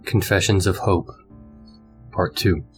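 A man's voice: low voice, steady tone, takes it slowly, rough recording. A man acting as story narrator, reading out scripted titles in an announcing style. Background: music.

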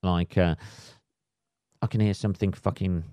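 A man, a little hoarse, ranting comically and swearing constantly in a rambling solo podcast monologue. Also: low-pitched, fairly even intonation, normal speed, mellow, no room sound. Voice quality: heavy swearing, rambling with many fillers. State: slightly hoarse. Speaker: podcast host. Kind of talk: solo comedic rant.

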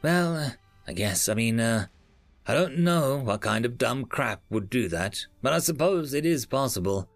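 A man's voice: mid-range voice, lively intonation, moderate pace, no echo, soft background music, natural voice. A man voicing a boastful, chatty male chef who rambles in folksy slang.

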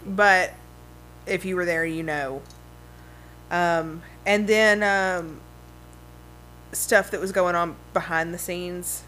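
A woman co-hosting a podcast, chatty, telling stories with many pauses. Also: lowish voice, fairly even intonation, a bit bright, a little hiss or hum, rough recording. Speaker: podcast co-host. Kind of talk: casual storytelling chat.

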